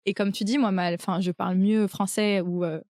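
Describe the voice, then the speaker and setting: A young French-accented woman hosts a relaxed conversation, breaking her sentences with frequent little hesitations. Her voice sits at an ordinary middle pitch, recorded close and dry. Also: a little fast, no room sound. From France. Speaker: podcast host. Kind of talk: casual conversation.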